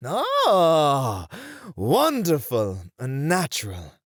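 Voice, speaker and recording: A man acts out a courtly gentleman who teaches etiquette in an ASMR roleplay. His delivery is slow and theatrical, with lively swoops in pitch. He is formal, playful and encouraging, in a close, dry recording. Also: dry recording, full character voice. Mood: encouraging, instructive. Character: courtly, playful male etiquette tutor at a ballroom. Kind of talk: ASMR roleplay acting addressed to the listener.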